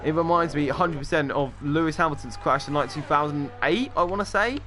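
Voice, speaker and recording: A man commentates a sim race in a quick, excitable lap-by-lap style, tossing in offhand asides. His voice sits a little high. Music plays clearly underneath him.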